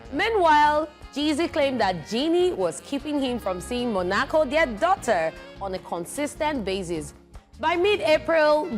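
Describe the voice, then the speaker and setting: A woman from Nigeria co-hosting an entertainment show, reading celebrity news briskly with lively emphasis. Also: fairly high pitch.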